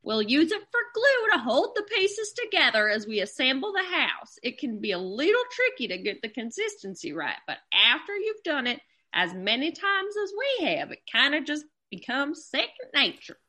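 A woman's voice: sits high, sing-song, moderate pace, few pauses, pushed and bright, full character voice. A woman playing a prim, gushing co-host of an old-fashioned radio cooking show, polite and presenterly. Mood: upbeat. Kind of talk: parody of old-time radio homemaking show.

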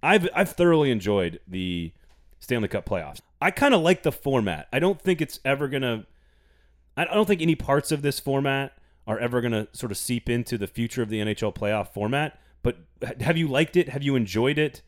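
A man's voice: mid-range voice, lively intonation, brisk. A man hosting a casual sports podcast, playful and teasing, reading an ad with gusto. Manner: playful, teasing, reads ads with enthusiasm. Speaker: podcast host.

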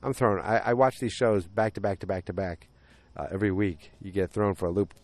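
A man hosting a solo podcast, recapping a TV episode in a rambling, self-correcting way, with his voice deepened after a concert, speaking outdoors. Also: fairly even intonation, a little fast. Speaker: podcast host.

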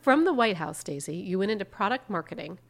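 Fast-paced woman host, prompting follow-ups. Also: medium pitch, animated, creaky. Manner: quick, prompting follow-up remarks. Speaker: interviewer. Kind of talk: interview questions.

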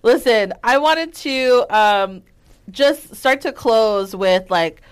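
A woman's voice: a little high, lively intonation, slow pace. A woman hosting a podcast in casual interview chat, bubbly and full of eager agreement. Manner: bubbly, affirming, lots of yeses. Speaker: podcast host.

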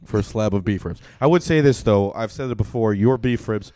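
A man's voice: lowish voice, fairly quick, mellow, dry recording. A man hosting a podcast, talking in a loose, rambling way with lots of fillers and casual swearing. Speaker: podcast host.

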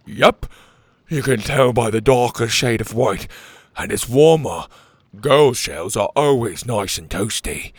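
A man voicing an excitable, naive platypus in a children's story, exclaiming in simple folksy speech. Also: medium pitch, unhurried, breathy edge, no echo. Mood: earnest.

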